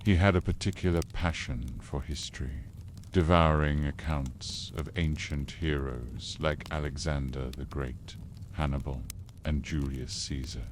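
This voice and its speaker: A man narrating a scripted history story in a calm, soothing, unhurried way meant to help listeners fall asleep. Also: a bass voice, slight background noise.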